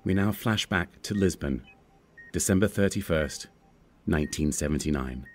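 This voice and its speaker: A man's voice: sits low, normal speed, slight background noise. A man narrating a screenplay, reading out scripted scene descriptions.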